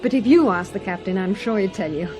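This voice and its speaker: An actress playing a patient but faintly tart air stewardess, brisk and polite, in a radio drama. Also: female voice, medium pitch, expressive, dark tone, faint music behind, natural voice.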